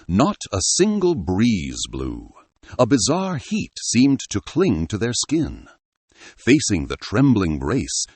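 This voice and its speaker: Deep, clear, swooping male narrator. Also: darkish tone, no room sound. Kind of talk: dramatic scripted story narration.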